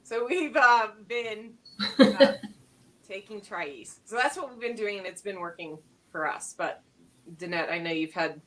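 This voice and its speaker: A woman's voice: medium pitch, unhurried, a bit bright, far from the mic. A middle-aged woman co-hosting a casual wellness livestream, bubbly and laughing often as she talks with many fillers. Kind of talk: casual chat.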